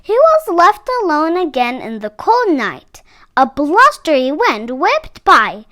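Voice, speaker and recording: A woman's voice: high-pitched, wildly swooping pitch, slow, pushed and bright. A woman narrating a children's picture book expressively, with dramatic phrasing. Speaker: read-aloud storyteller for children.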